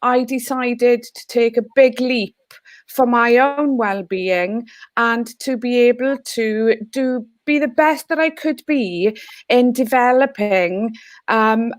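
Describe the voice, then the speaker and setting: A woman's voice: a little high, dry recording. Earnest Welsh woman, dry close sound. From Wales. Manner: earnest, heartfelt. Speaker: teacher and guest speaker. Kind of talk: online panel talk. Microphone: video call.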